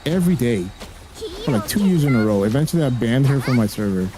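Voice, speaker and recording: A young man, a native Spanish speaker, talks casually in a blunt, slangy way and swears freely. His voice sits at an ordinary pitch for a man. Game music plays clearly behind him. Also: expressive, fast talker. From raised by a Spanish-speaking family, living in the US. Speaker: gaming streamer. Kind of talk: casual streaming chat.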